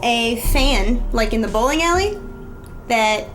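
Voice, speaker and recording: A woman with a fairly high voice delivers a casual gadget review. She is chatty and slangy, speaking informally over prominent music. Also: animated, a little slow, poor audio.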